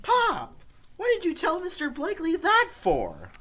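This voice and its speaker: A woman's voice: very high voice, huge pitch swings, a little slow, bright, forceful tone, full character voice. A woman playing a worried, whiny teenage son in a 1940s radio sitcom, pleading plaintively.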